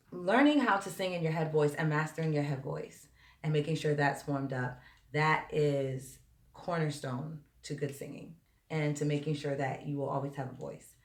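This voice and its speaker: A woman vocal coach with a slightly hoarse, tired voice, chatting directly to viewers during a live-stream lesson and answering their questions. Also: low voice. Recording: roomy echo.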